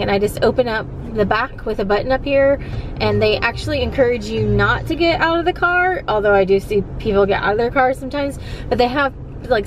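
A woman's voice: on the high side, a little hiss or hum. A woman in her thirties talking casually to camera in a home vlog, chatty and upbeat, full of fillers. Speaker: vlogger. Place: home bathroom and kitchen, indoors. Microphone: camera on tripod, then handheld.

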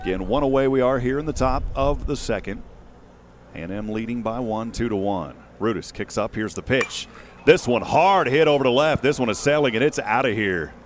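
A man in his thirties calling a baseball game live in short, measured phrases, speaking into a headset mic outdoors. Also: mid-range voice, a little hiss or hum. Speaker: radio play-by-play baseball announcer. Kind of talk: live sports commentary. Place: outdoors at a windy ballpark. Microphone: headset boom mic.

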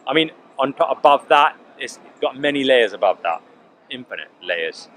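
A man in his forties talks earnestly to a close camera on a park lawn, often restarting his sentences. His pitch sits in the middle, and faint outdoor ambience hums behind him. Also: very animated, normal speed, a bit bright, a little hiss or hum, rough recording. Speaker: vlogger. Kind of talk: casual reflective monologue. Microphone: camera at close range.

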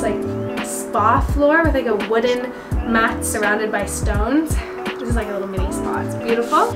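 A young woman with a slightly high voice chats her way through a cottage tour, keen and talkative, filling her sentences with casual asides. She films on a handheld camera in a half open-air holiday house. Loud music plays right under her voice. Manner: chatty, enthusiastic, lots of "like". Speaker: travel vlogger. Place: holiday cottage, partly outdoors.

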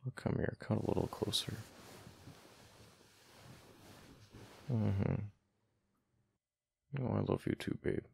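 Casting: male actor as affectionate, flustered gamer boyfriend for ASMR roleplay, very creaky low voice, dry close sound. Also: steady tone, darkish tone, dry recording, full character voice. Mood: tender, affectionate. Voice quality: deep, gravelly. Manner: halting, stammering, murmured.

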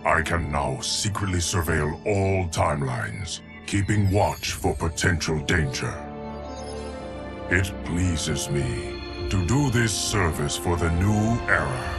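An actor voicing a grave, ancient guardian of timelines in stately, formal game narration. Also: male voice, sits low, expressive, slow. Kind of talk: solemn scripted game narration. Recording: studio voice over music.

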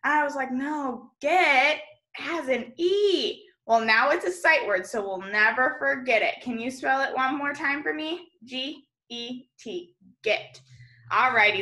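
A young woman teaching small children in a video lesson, animated and encouraging, spelling out and enunciating words as she invites them to repeat. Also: high-pitched, a little slow. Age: young adult. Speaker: elementary school teacher. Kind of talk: early-grade video lesson to children, call and response. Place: room in a home, indoors.

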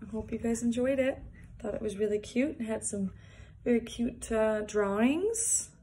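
A young woman reading a picture book aloud for small children, deliberately, with casual asides. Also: fairly high pitch, dark tone. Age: young adult. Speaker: early years worker reading a picture book. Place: small plain indoor room, seated at a desk. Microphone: phone or device propped at arm's length.

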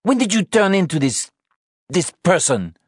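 Male reader as worn-out angry mum, audiobook drama, raised pitch, animated, accusing. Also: moderate pace, no room sound, studio quality. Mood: angry, scolding. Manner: accusatory, exasperated. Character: exhausted, angry mother scolding her wayward teenage son. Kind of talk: audiobook character dialogue.

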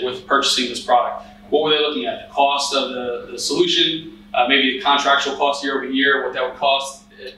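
A man's voice: medium pitch, bright, forceful tone, slight background noise. A man in his thirties giving a conversational conference talk as a cyber risk analyst in a hotel meeting room, with frequent restarts and fillers. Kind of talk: conference presentation. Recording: echoey room from a distance.

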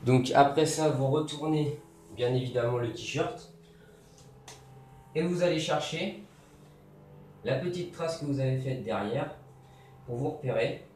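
A young man casually talks through a DIY sewing tutorial while working, relaxed and filling pauses with little interjections. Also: medium pitch, a little hiss or hum. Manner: relaxed, talks while working, many fillers and "hop, voilà". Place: bare room with grey wall, indoors. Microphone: camera mic from a distance. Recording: roomy echo, distant mic, faint music.

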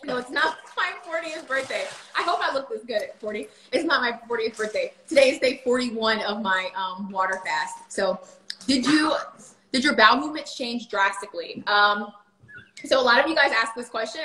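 A woman's voice: fairly high pitch, expressive, fairly quick, sharp tone, echoey room, a little hiss or hum, low-quality recording. A woman in her thirties chatting casually on a livestream at home, answering viewer questions with lots of fillers. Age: 30s. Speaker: vlogger. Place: home kitchen. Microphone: phone camera at table.

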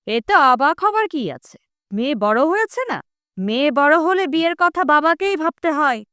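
Woman acting a high, sharp village mother. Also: expressive, normal speed, dry recording, studio quality. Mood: insistent chiding. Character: insistent, practical village wife and mother. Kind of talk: Bengali audio drama acting.

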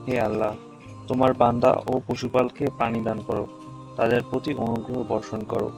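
A devout young male cartoon student, voiced by a man, talks to his friend. He is agreeable and earnest, with scripted lines at an average pitch. A music bed is clearly audible under the voice. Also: natural voice, poor audio.

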